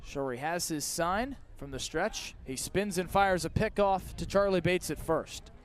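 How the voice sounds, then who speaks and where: Guy doing baseball play by play on radio, kinda high voice, clipped descriptive calls, out at the ballpark. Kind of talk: live radio sports commentary. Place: outdoors at a ballpark.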